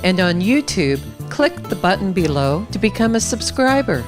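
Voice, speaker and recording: Lively woman voice-over over music. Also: mid-range voice, normal speed. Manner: clear, appealing, inviting. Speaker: announcer. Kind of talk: scripted appeal voice-over.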